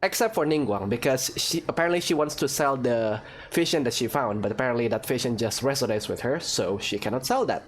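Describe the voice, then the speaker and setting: A woman's voice: extremely low pitch, fairly quick, hardly any pauses, slight background noise. A woman giving casual, teasing, sceptical commentary as she plays through a video game. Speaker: gaming video host. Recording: faint game music behind.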